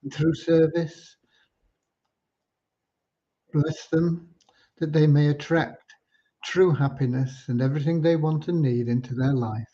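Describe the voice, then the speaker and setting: A man from Cornwall hosting an informal livestream, talking in an unhurried, earnest, slightly preachy way. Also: fairly high pitch, fairly even intonation, mellow, slightly rough. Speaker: livestream host and community leader. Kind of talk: informal livestream updates with devotional talk. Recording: dull lo-fi livestream audio.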